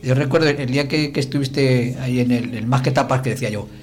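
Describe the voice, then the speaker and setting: A man's voice: mid-range voice, fast talker, over music. A man hosting a local radio show, casual and joking with his guest, speaking in short interjections. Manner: friendly, joking, brief interjections. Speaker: radio host.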